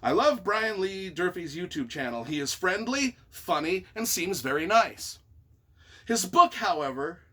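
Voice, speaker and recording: A man reading reviews aloud and reacting casually in an expressive, wry, self-deprecating way. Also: high-pitched, a little slow. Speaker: author and YouTuber.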